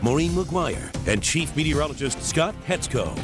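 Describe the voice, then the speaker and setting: A man's voice: on the high side, animated, moderate pace, loud music behind the voice. A man announcing a television newscast in a scripted station-intro style.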